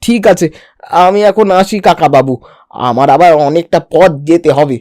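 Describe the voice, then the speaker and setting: A man's voice: fairly high pitch, lively intonation, normal speed, a little mellow, no room sound, studio quality, lightly acted. A man voicing a scheming village son-in-law in a comic cartoon, falsely polite and ingratiating, often repeating his words. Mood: slyly insinuating. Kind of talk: exaggerated comic cartoon dialogue.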